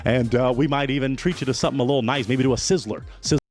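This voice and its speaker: A man's voice: medium pitch, fast, darkish tone, soft background music. Showy male dating show host. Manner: theatrical, showy announcer. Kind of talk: scripted show hosting.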